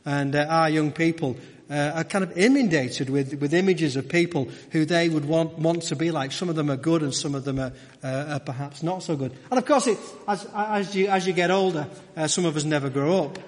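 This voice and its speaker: Preacher in an echoey hall. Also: male voice, a little high. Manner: halting, repeats words and phrases. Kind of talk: church sermon, conversational. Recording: echoey hall with long reverb.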